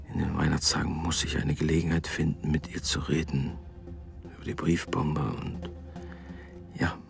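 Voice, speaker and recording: An actor in a radio drama playing a wistful, guilt-ridden middle-aged father, confiding his thoughts in a gravelly voice. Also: a bass voice, exaggerated voice. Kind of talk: confessional radio drama monologue.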